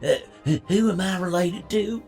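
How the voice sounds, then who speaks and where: A man's voice: high-pitched, steady tone, a little slow, creaky, a little mellow. A man playing a hapless, grumbling young pirate deckhand dog in a comic audio drama, hesitant and whiny. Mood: bewildered. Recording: music under the voice.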